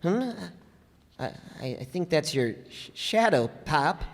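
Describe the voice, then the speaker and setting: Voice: medium pitch, sing-song, measured pace. A performer playing an eager but spooked young son, stammering urgently, in old-time radio comedy style. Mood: nervous. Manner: breathless stammering, urgent, boyish. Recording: echoey hall stage performance.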